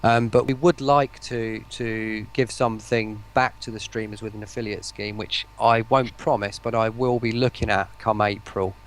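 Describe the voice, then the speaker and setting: A man's voice: fairly low pitch, fairly even intonation, moderate pace, few pauses, poor audio. A man speaking as a guest in a live stream interview, explaining things in a careful, hedging way. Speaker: guest, voice pack maker.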